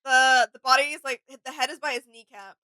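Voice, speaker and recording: A woman's voice: sits high, a little fast, bright, forceful tone, no echo, low-quality recording. A woman co-hosting a reaction video, casually talking through what she notices. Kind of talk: casual analytical chat.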